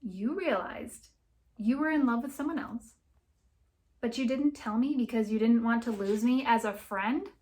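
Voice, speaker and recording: A woman's voice: fairly high pitch, normal speed, darkish tone, lightly acted. A woman voicing a jilted young woman in an audiobook, indignant and incredulous, with an emphatic, exasperated way of talking. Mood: hurt disbelief. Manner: exasperated, emphatic, stammering disbelief.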